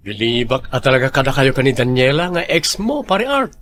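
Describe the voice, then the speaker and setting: A man with an Ilocano accent acting a nosy, doubting companion in a radio drama, questioning in an animated way. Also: medium pitch, brisk, a little hiss or hum. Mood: teasing, curious.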